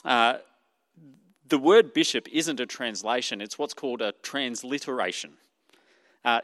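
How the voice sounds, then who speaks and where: A man's voice: mid-range voice, fairly bright tone, no room sound, clean studio sound, in a large hall. A man with an Australian accent preaching a sermon as pastor, explaining earnestly with many fillers and restarts.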